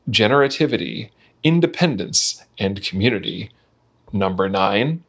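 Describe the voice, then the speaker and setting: A man reading a written text aloud in a measured, enunciated way for a podcast. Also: lowish voice, a bit bright. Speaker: reader of a manifesto. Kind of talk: scripted reading of a numbered manifesto. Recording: dull, lo-fi podcast audio.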